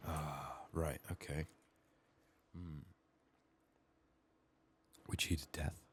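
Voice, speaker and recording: Very deep, soft-spoken man, half whispering. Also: steady tone, a little hiss or hum. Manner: unhurried, musing to himself. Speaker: gaming streamer, ASMR host. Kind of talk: soft-spoken ASMR game commentary.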